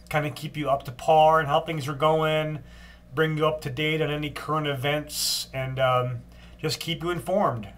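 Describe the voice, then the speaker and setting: An older man with a stuffed-up cold voice giving a casual daily video update, unhurried, with frequent ums and restarts. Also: a little high, fairly bright tone. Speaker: long-distance walker giving a daily update.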